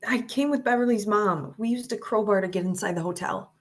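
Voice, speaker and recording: A woman's voice: mid-range voice, a little fast, natural voice. Woman acting an earnest, anxious loyal friend. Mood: concerned. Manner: earnest, urgent. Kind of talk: screenplay table read.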